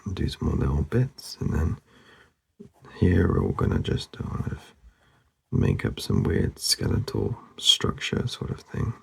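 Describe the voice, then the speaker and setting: A man narrating casually as he draws, laid-back and murmuring, with a husky, gravelly voice that often trails off. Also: rumbling low, wildly swooping pitch, a little slow, a bit bright. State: losing his voice, hoarse. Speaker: artist hosting a drawing channel. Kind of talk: casual narration while drawing.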